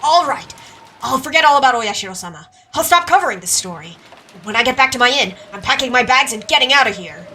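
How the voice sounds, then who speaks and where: A woman's voice: sits high, animated, fast talker, bright, forceful tone, recorded from a distance, slight background noise, voice pushed up. A woman playing an angry, panicked male writer on the verge of tears. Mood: defiant, frustrated.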